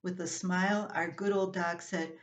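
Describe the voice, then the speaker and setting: An older woman reading a scripted, humorous family column aloud as its writer, in an expressive storytelling manner. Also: fairly low pitch, moderate pace. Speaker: columnist reading own writing.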